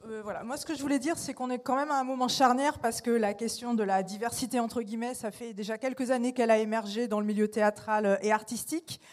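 Woman artist on a panel, higher voice, lots of "euh" but pushes her point hard. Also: steady tone, fast, hardly any pauses, a little mellow. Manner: hesitant, many fillers, then emphatic. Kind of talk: panel discussion.

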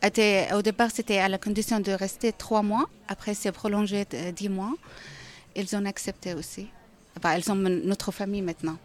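A middle-aged woman from Syria, a native Arabic speaker with a Syrian accent, giving a personal account in an interview and haltingly searching for words. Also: mid-range voice, steady tone. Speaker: interviewee, refugee who cooks.

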